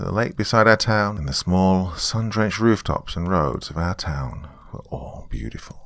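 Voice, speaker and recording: Male horror storyteller, low register with heavy vocal fry, scripted first-person narration, very dry sound. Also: a bit bright, dry recording.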